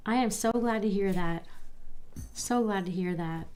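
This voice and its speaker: A woman from Baltimore hosting a crafting livestream, chatting softly and a little stuffy as she reads viewers' comments. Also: mid-range voice, a little slow, clean tone. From Baltimore, Maryland. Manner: relaxed, chatty, reads viewer comments aloud with small laughs. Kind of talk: soft-spoken live crafting chat.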